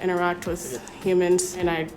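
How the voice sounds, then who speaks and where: A woman's voice: lowish voice, level tone, normal speed, over music. A woman giving casual answers as an interviewee. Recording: echoey with clear background noise.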